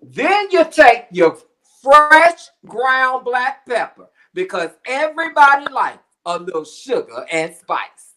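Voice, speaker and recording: A man's voice: very high-pitched, big pitch swings, takes it slowly, bright, forceful tone. A middle-aged man on a video call from his home kitchen, demonstrating a recipe in a playful way, full of slang and puns. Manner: jokey, drops slang and puns. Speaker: cooking show contestant. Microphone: webcam on video call.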